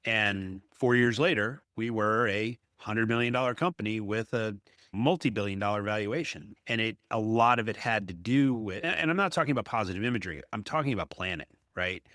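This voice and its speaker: A man with a fairly deep voice hosts a business podcast. He speaks conversationally and emphatically, telling things through anecdote and tagging points with a questioning "right?". The sound is very dry, with no room to it. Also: a little fast, fairly bright tone, no echo. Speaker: podcast host, apartment investor.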